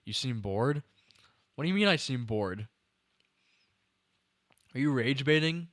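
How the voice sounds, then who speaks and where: A man's voice: mid-range voice, animated, measured pace, fairly bright tone, no room sound, clean studio sound. A young man hosting a livestream, rambling through a film breakdown with restarts while answering chat, and slightly tired. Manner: rambling, restarts sentences, talks to chat viewers. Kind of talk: casual enthusiastic film commentary to chat.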